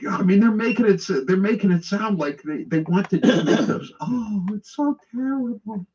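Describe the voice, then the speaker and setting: A man's voice: high voice, lively intonation, moderate pace, darkish tone, recorded from a distance. An American man delivering an opinionated, sarcastic radio monologue in a mocking, conversational way. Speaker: political talk radio host. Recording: dull lo-fi radio relay.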